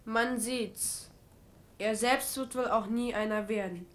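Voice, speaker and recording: A woman's voice: fairly high pitch, steady tone, takes it slowly. A performer, heard as female, playing a haughty, dismissive boy king of France in a German radio drama. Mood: haughty, offended.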